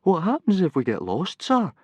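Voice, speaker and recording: A man's voice: fairly high pitch, very animated, brisk, darkish tone, no echo, voice pushed up. Man doing a nervous skinny boy. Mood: anxious. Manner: anxious, pleading.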